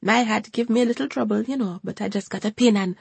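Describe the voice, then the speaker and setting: Woman putting on a high anxious grandmother. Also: fast talker, vocal fry, darkish tone, husky, no echo. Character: nervous elderly Caribbean grandmother, devout.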